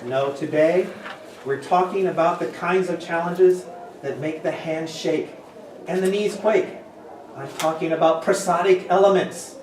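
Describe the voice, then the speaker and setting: A man's voice: fairly high pitch, steady tone, unhurried, darkish tone, natural voice. A man performing a pompous, grandiose orator in a readers' theater piece. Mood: grand, ominous. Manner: grandiose, declamatory. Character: pompous, theatrical male orator scorning his interrupters. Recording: distant, echoey, noisy room recording.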